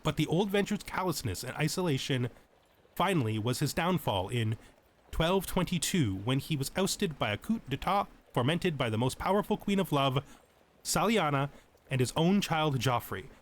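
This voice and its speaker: A man with a voice pitched a little higher than average hosts a let's play. He moves between explanatory commentary and measured readings of lore, told in a storyteller's fashion. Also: lively intonation, slight background noise. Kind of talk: tutorial game commentary mixed with reading lore text aloud.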